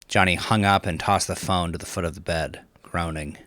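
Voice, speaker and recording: A man narrating crime fiction in a measured, dramatic storytelling manner. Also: deep voice, steady tone, a bit bright, no room sound, studio quality. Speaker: audiobook narrator.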